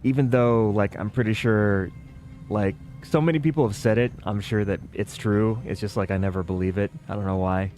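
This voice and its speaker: A man giving casual, musing commentary while playing a building game, thinking aloud with relaxed asides. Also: fairly low pitch, moderate pace. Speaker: gaming video creator.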